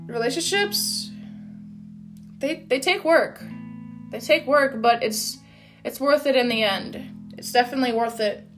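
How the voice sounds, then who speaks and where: A loud, upbeat woman, hosting her own motivational podcast. Her voice sits high and bright, and she talks in an encouraging, casual way. Soft guitar music plays faintly behind her. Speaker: podcast host. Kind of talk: motivational solo podcast talk.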